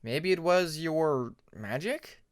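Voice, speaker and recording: A man's voice: fairly high pitch, animated, slow. Man voicing an earnest, mild young male dragon. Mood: puzzled.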